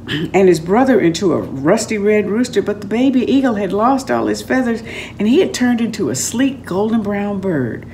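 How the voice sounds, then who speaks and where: An older woman reading a story aloud in an expressive, dramatic and deliberate storytelling manner. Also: medium pitch, slight background noise. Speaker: author reading aloud from own book. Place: room at home, indoors.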